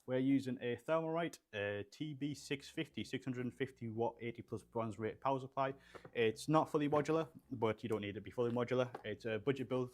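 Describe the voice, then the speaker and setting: A man's voice: medium pitch, fairly quick. A young man from England, raised there with Polish roots, chatting casually and rambling with fillers as he builds a PC and reads out live chat. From Poland, raised in England. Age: young adult. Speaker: livestream host building gaming PCs. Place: small studio room with shelves.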